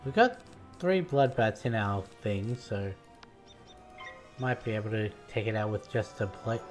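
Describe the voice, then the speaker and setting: Relaxed Australian man streaming a game. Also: on the low side, measured pace. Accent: light Australian. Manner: relaxed, musing aloud. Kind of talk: casual live-stream gameplay commentary. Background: game music.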